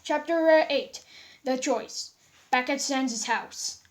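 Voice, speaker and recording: A high-voiced woman narrates a fan story as an amateur read-aloud. She reads with stumbles and repeated words. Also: slow pace.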